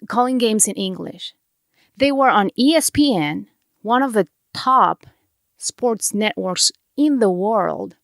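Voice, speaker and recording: A woman's voice: mid-range voice, no echo, clean studio sound. Earnest Mexican-accented woman podcast host. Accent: Mexican Spanish. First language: Spanish. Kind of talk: reflective motivational monologue.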